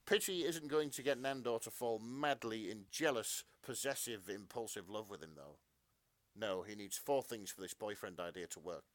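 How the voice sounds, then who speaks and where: A man's voice: on the low side, normal speed, a bit bright, no room sound. A man co-hosting a podcast, narrating fan fiction melodramatically with casual asides.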